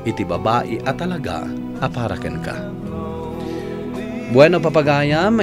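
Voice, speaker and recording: A man with an Ilocano accent hosting a radio drama program, giving earnest consoling advice and reading the credits. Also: medium pitch. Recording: AM radio with music bed.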